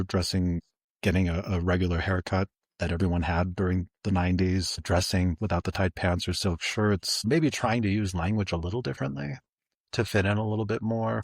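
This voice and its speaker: A man in his forties, co-hosting a podcast, talking reflectively and haltingly with many fillers and restarts. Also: deep voice, dry recording, clean studio sound. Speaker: podcast co-host.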